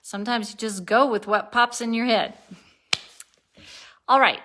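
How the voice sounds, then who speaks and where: Woman, 60s, unhurried video-diary chat, bright tone, worn out, self-correcting and giggly. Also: fairly high pitch, fairly even intonation. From born in Turkey, raised abroad, lives in Colorado. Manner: rambling, self-correcting, laughs at herself. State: tired, a little spacey. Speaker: vlogger. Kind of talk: casual daily video diary.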